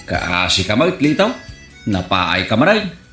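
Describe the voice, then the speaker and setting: An actor playing a consoling, bashful village buddy with a Filipino accent in a comedy radio drama. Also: male voice, fairly low pitch, sing-song, unhurried, pushed and bright, full character voice. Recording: roomy echo, faint music.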